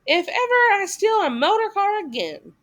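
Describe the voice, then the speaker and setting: A woman's voice: very high voice, very animated, moderate pace, fairly bright tone, voice pushed up, full character voice. A woman voicing a boastful, reckless male toad from a storybook, with a dramatic, exclamatory delivery. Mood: dismayed.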